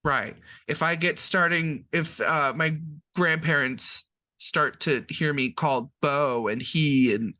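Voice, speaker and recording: A man's voice: on the high side, takes it slowly, bright, forceful tone, no echo. A man co-hosting a podcast, explaining terms in casual chat with frequent ums and restarts.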